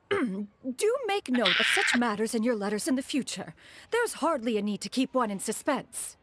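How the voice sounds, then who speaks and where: A woman's voice: a little high, big pitch swings, moderate pace, a bit bright, slight background noise. A woman voicing a proud, aloof immortal master in a video game, speaking formally and archaically with hidden fondness. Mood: reserved. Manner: haughty, formal, archaic, calls herself "one". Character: proud, aloof female immortal adeptus master, secretly fond. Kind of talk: video game character acting.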